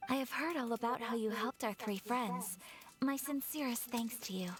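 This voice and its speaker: A woman's voice: fairly high pitch, unhurried, slight background noise, lightly acted. A woman voicing a refined, courteous young noblewoman in a video game, speaking with formal, poised politeness. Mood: gracious, earnest.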